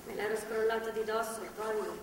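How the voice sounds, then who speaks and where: Stubborn, bitter young woman held captive actress in the role; high, animated stage voice in a reverberant hall. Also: moderate pace, natural voice. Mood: angry, bitter. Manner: blunt, coarse, emphatic. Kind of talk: live stage drama dialogue. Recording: distant echoey theatre hall, faint noise.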